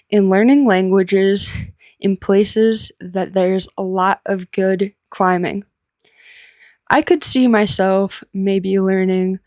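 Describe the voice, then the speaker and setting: An American woman teaching English through simple personal stories, speaking in a deliberate, unscripted way with clear phrasing. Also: medium pitch, darkish tone, dry recording, clean studio sound. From United States. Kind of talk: slow, simple personal storytelling for language learners.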